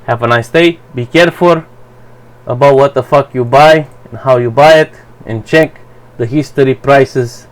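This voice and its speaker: A man whose first language is Romanian, giving a blunt, profane, halting commentary for his channel. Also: mid-range voice. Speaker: vlogger. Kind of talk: rambling personal commentary.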